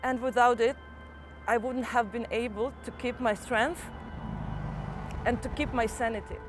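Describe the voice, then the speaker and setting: A young woman from Ukraine with a Ukrainian accent, a motivational teacher calmly and earnestly reflecting in her own words. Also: on the high side, over music. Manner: calm, earnest and measured, with small restarts. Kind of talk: personal first-person reflection.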